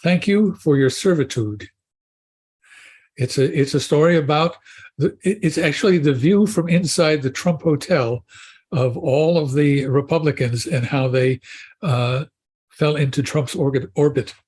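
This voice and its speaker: A man's voice: fairly high pitch, measured pace, a little mellow. A man talking on a panel show in a casual discussion, often restarting his phrases. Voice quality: husky.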